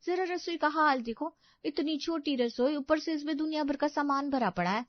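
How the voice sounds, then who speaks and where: Woman as high-pitched, whiny young daughter-in-law. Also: moderate pace, dry recording, muffled recording, lightly acted. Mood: aggrieved. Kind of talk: dramatised family story.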